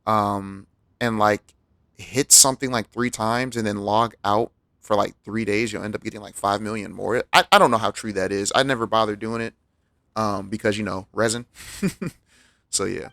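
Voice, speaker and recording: Lowish, gravelly male game streamer. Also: fairly even intonation, fairly bright tone, no room sound. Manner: halting, rambling asides. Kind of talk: casual playthrough commentary, reading game text aloud.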